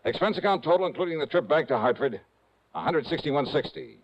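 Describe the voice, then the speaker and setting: A man's voice: on the high side, lively intonation, brisk, slight background noise. An actor playing a cool, hard-boiled male investigator narrating his case in clipped, wry 1950s radio noir style. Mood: tense, wry. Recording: dull vintage radio recording.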